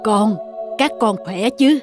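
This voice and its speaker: A woman voicing a kindly old village father in an animated folk tale, plaintive and fatherly, with a husky voice. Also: medium pitch, takes it slowly, soft background music, close to an everyday voice. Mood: warm.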